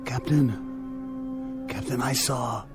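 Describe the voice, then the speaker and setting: A man's voice: medium pitch, drawn-out words, over loud music. An actor playing a weak, dying, dazed crewman in an old time radio drama, exhausted and faltering over short broken phrases. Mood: fading wonder.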